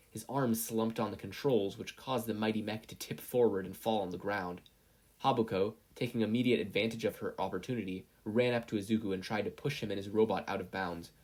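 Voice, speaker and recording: Casting: male audiobook-style narrator, low pitch, husky tone, reading scripted fiction. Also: a little mellow. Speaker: podfic reader, narrator.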